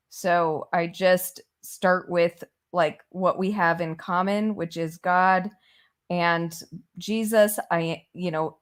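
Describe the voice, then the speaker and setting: A woman with a slightly low voice, a native Persian speaker, talks frankly and simply. Her delivery is plain, with a few ums along the way. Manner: frank, plain-spoken, with fillers. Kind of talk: casual discussion.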